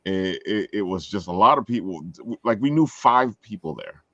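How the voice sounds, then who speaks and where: A man's voice: lowish voice, lively intonation, normal speed, a bit bright, dry recording, dull audio. A man hosting a casual group chat in a jokey manner, repeating words for emphasis. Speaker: show host.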